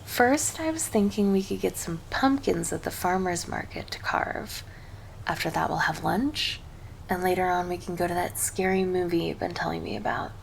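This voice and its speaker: A woman's voice: fairly low pitch, moderate pace, gravelly, slight background noise, low-quality recording. A woman playing a cheerful, affectionate succubus girlfriend in an ASMR roleplay, doting and teasing as she speaks intimately to her partner. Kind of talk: ASMR roleplay, scripted monologue to the listener.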